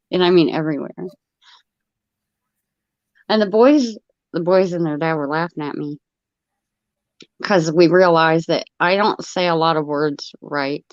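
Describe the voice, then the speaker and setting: A woman's voice: deep voice, takes it slowly, pauses often, a little mellow, dry recording. A middle-aged American woman with an Ohio accent and a trace of Florida, rambling and laughing often as she chats on a live stream. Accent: Ohio, with some Florida. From United States. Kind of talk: casual live stream chat. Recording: dull, dry lo-fi mic.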